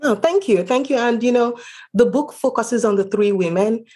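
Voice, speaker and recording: A woman's voice: on the high side, lively intonation, moderate pace, dark tone. A woman from Nigeria speaking as an author guest in a conversational interview, earnest and explanatory.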